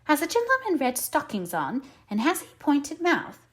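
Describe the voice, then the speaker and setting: Storybook widow fox, played by a woman: imperious, fussy, raised and swooping pitch. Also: wildly swooping pitch, moderate pace, a bit bright, full character voice. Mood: choosy. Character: fussy, imperious widowed vixen seeking a husband. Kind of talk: storybook read-aloud character voice.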